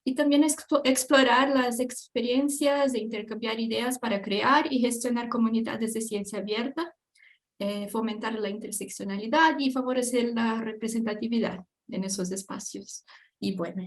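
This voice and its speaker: A woman's voice: on the high side, moderate pace. A woman hosting an online panel, introducing the speakers with many hesitant fillers. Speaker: event host.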